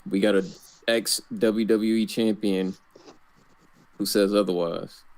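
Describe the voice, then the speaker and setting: A man co-hosting a casual podcast, giving wrestling picks in a relaxed, blunt way and repeating short phrases. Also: fairly low pitch, takes it slowly. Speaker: podcast co-host. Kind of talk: casual wrestling predictions chat.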